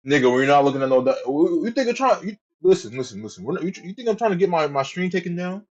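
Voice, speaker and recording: A man's voice: fairly high pitch, very animated, quick pace, darkish tone. Rambling profane male streamer, lo-fi. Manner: rambling, profane, repeats himself. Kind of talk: casual livestream chat.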